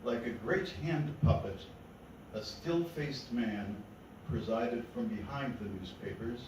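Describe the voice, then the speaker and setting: Slow-reading man in a very echoey room. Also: mid-range voice. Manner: measured, deliberate phrasing. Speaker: poet reading own work. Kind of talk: poetry reading to a live gathering. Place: indoor literary meeting room. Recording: echoey room from far off, noisy.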